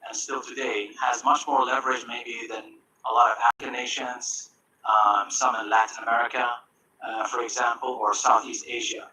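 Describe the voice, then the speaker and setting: A man's voice: medium pitch, pushed and bright, a little hiss or hum, low-quality recording. A man moderating a conference panel, joking lightly and asking long, hesitant questions full of fillers. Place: conference stage.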